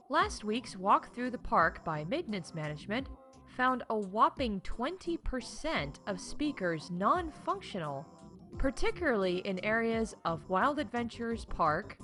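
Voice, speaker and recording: A woman's voice: fairly high pitch, a bit bright. A woman playing a chatty, wry theme park staff announcer in a scripted comedy podcast, emphatic and full of conversational asides. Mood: scolding, emphatic.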